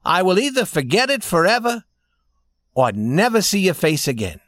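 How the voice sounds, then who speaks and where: A man's voice: high voice, very animated, normal speed, a bit bright, dry recording, clean studio sound, pitched above the performer's own voice. A man voicing a resolute young lady in a storybook, speaking formally and firmly. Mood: firm, hurt. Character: firm young lady deciding on her unfaithful fiancé.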